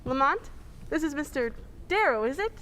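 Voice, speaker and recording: A woman's voice: high voice, sing-song, normal speed, a bit bright, a little hiss or hum. A woman playing a poised, polite and inquisitive lady sleuth in an old-time radio drama. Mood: curious, poised.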